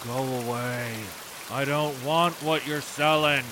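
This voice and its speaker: An actor playing a gruff, cynical down-and-out war veteran in a noir audio drama, terse and sardonic. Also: male voice, fairly high pitch, fairly even intonation, slow pace, noisy background. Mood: surly. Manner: terse, world-weary, sardonic.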